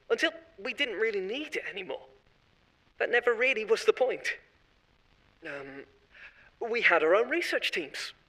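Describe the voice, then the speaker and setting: A chatty, eerie corporate executive. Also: male voice, high voice, sharp tone, heavily acted. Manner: rambling, confiding, oddly cheerful. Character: eerie corporate marketing head, adult man, unsettling. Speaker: voice actor. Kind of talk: horror audio drama monologue.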